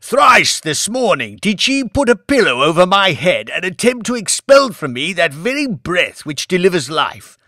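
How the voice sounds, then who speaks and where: A man's voice: high-pitched, sing-song, moderate pace, hardly any pauses, sharp tone, no room sound, studio quality, voice pushed up, exaggerated voice. Man acting a pompous, outraged old lord. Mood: theatrical outrage. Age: older. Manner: pompous, melodramatic declaiming. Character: hot-tempered, grandiose old lord, theatrically outraged invalid.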